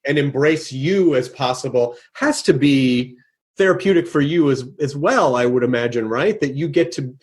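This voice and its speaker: A middle-aged man hosting a casual interview, thinking aloud with many restarts and growing animated when he acts out what someone might say. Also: mid-range voice, moderate pace, slight background noise. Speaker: podcast host.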